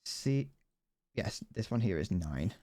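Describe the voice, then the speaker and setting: A man's voice: lowish voice, very animated, slow, warm tone, dry recording. A young man streaming as he builds a model kit, chatting casually, rambling, correcting himself and stumbling over his words.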